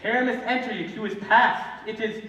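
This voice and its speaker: A man's voice: high voice, brisk, sharp tone, muffled recording. A male student actor playing a bossy, fussy carpenter who directs amateur players in a Shakespeare stage play. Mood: exasperated. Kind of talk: theatrical Shakespeare stage acting. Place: large indoor school theatre stage. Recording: echoey hall from far off, faint noise.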